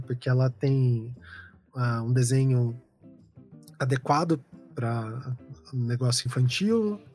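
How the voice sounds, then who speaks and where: A male designer talks casually on a podcast, circling his points with fillers and restarts. His voice sits in an ordinary middle range. Soft music plays faintly behind him. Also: medium pitch. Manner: loose, rambling explanation with many fillers. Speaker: designer guest.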